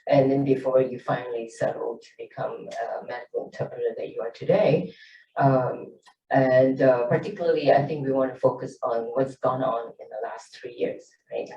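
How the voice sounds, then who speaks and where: A man's voice: medium pitch, fairly even intonation, moderate pace, gravelly, dark tone. A man moderating a panel, asking a long, rambling question full of "you know". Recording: dull, echoey, distant mic.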